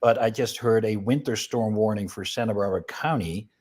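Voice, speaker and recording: A man in his sixties who grew up in the Netherlands, talking in a low, warm, mellow voice. He speaks with a relaxed, chatty ease as a guest on a video call. He fills his sentences with small pauses and ums. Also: slightly rough. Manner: relaxed, chatty, uses fillers. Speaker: guest, flower company CEO. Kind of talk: casual interview talk.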